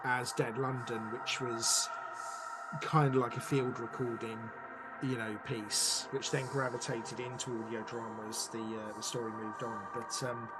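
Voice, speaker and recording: A man's voice: mid-range voice, level tone, a little slow, music in the background, rough recording. A middle-aged man talking as a podcast guest about his work in a chatty, playful and enthusiastic way. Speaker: guest, audio drama producer and director.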